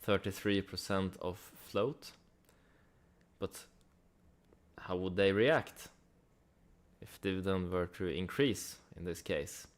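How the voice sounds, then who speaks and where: A man with a fairly low voice talks very slowly, as a host giving casual commentary. His delivery is conversational, leaning on rhetorical questions and dry asides. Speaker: host of a stock analysis channel.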